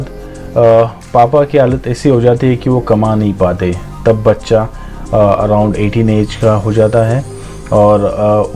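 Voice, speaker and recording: A man's voice: fairly low pitch, dark tone. A man giving an informal life-lesson monologue, hesitant and reflective, mixing in English words as he goes. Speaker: motivational storyteller.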